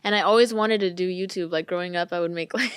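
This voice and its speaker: A woman's voice: on the low side, fast talker, clean tone, no room sound. A woman in her twenties from California, a guest on a podcast, chatting casually with frequent laughs and lots of "like". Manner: bubbly, giggly, lots of "like". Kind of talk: casual chat.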